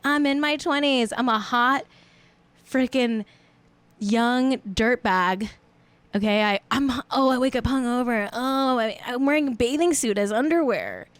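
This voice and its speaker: A woman's voice: fairly high pitch, measured pace, clean tone, dry recording. A woman in her late twenties hosting a live stream show, chatting in a rambling, playful, self-mocking way. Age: 20s. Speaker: show host. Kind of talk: casual livestream chat.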